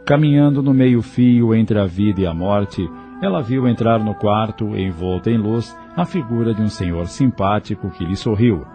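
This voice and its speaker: A man narrating an audio novel in a measured, expressive storytelling way. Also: on the low side, faint music behind.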